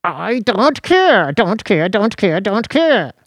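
A scrawny, petulant detective squeals in a high, whiny register that swoops wildly up and down. His lines come in a sing-song, childish chant. The sound is dry and close. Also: big pitch swings, takes it slowly, no echo, full character voice. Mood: shrill, frantic.

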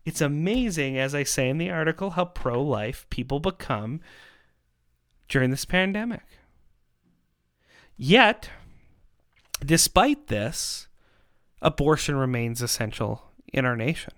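A man giving a persuasive monologue in an emphatic, rhetorical manner, with dramatic pauses and repetition. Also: fairly high pitch, expressive, a bit bright, no echo, clean studio sound. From Canada. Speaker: podcast host and pro-life activist.

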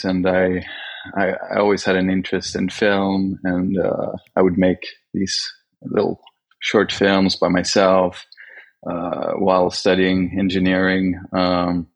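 A man from Sweden answering interview questions as a guest. He talks slowly and haltingly, with many pauses and restarts. His voice is very deep and gravelly. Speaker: guest filmmaker.

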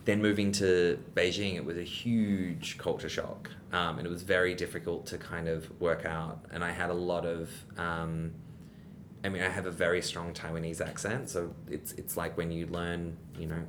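A man's voice: flat delivery, normal speed. A young adult man, a guest in a casual interview, talking in a rambling way with many restarts and fillers. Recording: rumbling background noise.

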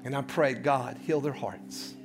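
A male pastor preaching a sermon, swinging between loud shouts and hushed low passages and repeating words as he builds. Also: fairly even intonation, measured pace, soft background music.

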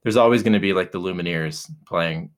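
Deep-voiced man in relaxed, rambling podcast chat. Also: fairly quick. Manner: relaxed, rambling, many fillers and restarts. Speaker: podcast co-host. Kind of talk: casual chat.

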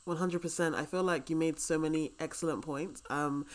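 A woman's voice: deep voice, steady tone, moderate pace. British woman podcast host, chatty and informal, agreeing with her guest, just a casual interview. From Britain. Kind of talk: casual chat.

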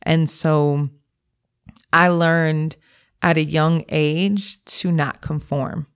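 A woman's voice: sits low, fairly even intonation, drawn-out words, clear, resonant tone. A woman from Milwaukee, an executive director, answering interview questions in a candid, emphatic, slangy way. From Milwaukee, USA.

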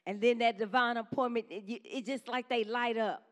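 A woman preaching a sermon to her congregation in a conversational style, with quick call-and-response asides to the church. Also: on the high side, fairly even intonation. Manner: says she has a strong voice.

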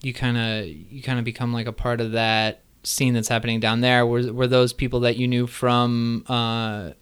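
A man's voice: mid-range voice, little pitch movement, moderate pace, fairly bright tone, clear, resonant tone. A man in his thirties hosting a casual interview, chatty and enthusiastic, swearing now and then. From Illinois, Midwest US. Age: 30s. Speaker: podcast host.

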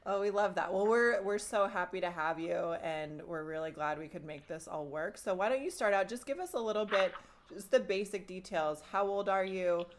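A woman's voice: medium pitch, moderate pace, a little hiss or hum. A woman hosting a podcast from a home studio, friendly and enthusiastic as she interviews a guest. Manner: friendly, enthusiastic, with a few restarts. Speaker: podcast host.

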